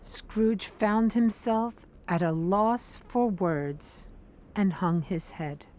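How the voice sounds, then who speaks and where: A woman narrating an audio drama as a scripted story, in a deliberate, measured manner. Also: medium pitch, darkish tone.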